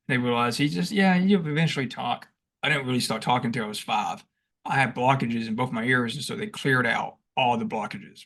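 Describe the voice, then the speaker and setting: A young man co-hosting a podcast, telling stories in a casual, animated way and acting out what he shouted. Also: medium pitch. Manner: animated, acts out past shouts; rambling with restarts and laughs. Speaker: podcast co-host. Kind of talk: casual storytelling chat.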